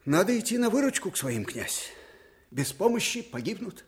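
Casting: elderly, shrewd commander for radio drama, fairly high male voice, fervent, abrupt, theatrical. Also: big pitch swings, normal speed. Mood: brooding, confiding. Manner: theatrical, emphatic, abrupt shifts from outburst to hushed confiding. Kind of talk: Soviet radio drama acting.

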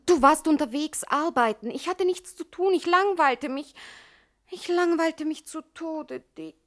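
Young gangster's moll in radio comedy: high voice, coy, coaxing, devoted. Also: female voice, a little slow. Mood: defensive, plaintive. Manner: pleading, coy, wheedling. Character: gangster's coaxing young showgirl girlfriend, devoted yet coquettish. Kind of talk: 1960s German radio comedy crime acting.

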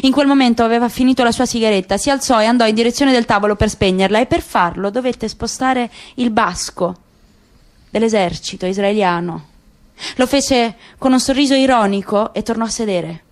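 A woman narrating a literary story in a radio drama reading, even and composed. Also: a little high, a little fast.

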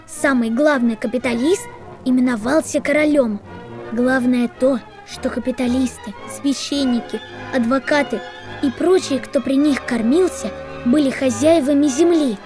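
An actress playing a dutiful woman reciting a party history textbook in a deliberate, didactic audio-drama manner. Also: sits high, music in the background. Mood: solemn, didactic.

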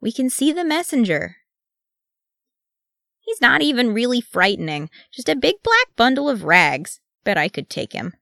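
A woman's voice: a little high, big pitch swings, moderate pace, vocal fry, a bit bright, no echo, studio quality, voice pushed up, exaggerated voice. A woman voicing a bossy, scornful young dwarf boy in a fantasy story, speaking in a snappy, taunting way.

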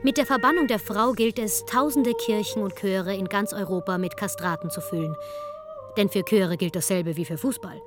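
A woman's voice: medium pitch, normal speed. A woman narrating a scripted radio documentary in a wry, sardonic way. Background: music.

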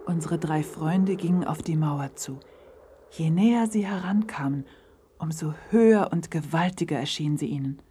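A woman narrating a scripted German children's story in a measured storytelling manner. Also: low-pitched, mellow, a little hiss or hum.

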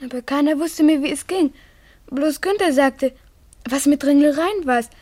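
A female performer plays a frightened little girl in a German radio play. The voice is very high and childlike, with an earnest, breathless, pleading manner. The sound is dry, without room echo. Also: moderate pace, darkish tone, close to an everyday voice. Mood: fearful, earnest. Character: frightened, clinging little schoolgirl.

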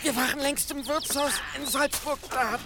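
An actor in a German audio drama playing a clever captive servant, halting and strained. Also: male voice, very high voice, fairly even intonation, noisy background. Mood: frightened explaining. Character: clever captive male servant pleading and explaining.

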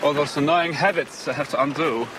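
Actor as halting man in command, noisy surroundings. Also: on the high side, natural voice. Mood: self-satisfied, musing. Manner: halting, hesitant. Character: smooth, self-absorbed man in command picking a maid. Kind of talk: film drama acting.

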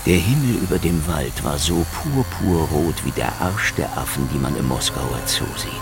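A streetwise Soviet investigator in a hardboiled radio play. His low voice crackles with gravel as he needles and swaggers with cynical insistence. Music plays clearly behind him. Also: expressive, moderate pace, exaggerated voice. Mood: weary, wry narration. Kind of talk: German radio crime drama acting.

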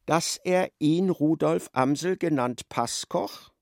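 An actor narrating a darkly comic literary radio play as an observant storyteller. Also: male voice, fairly high pitch, slow pace, creaky, darkish tone, no echo, clean studio sound. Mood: wry narration.

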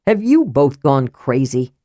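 A woman's voice: deep voice, big pitch swings, measured pace, mellow, dry recording, heavily acted. A woman voicing a hearty, plain-spoken male warrior in an audiobook, blunt and urgent. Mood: incredulous. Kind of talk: audiobook character acting.

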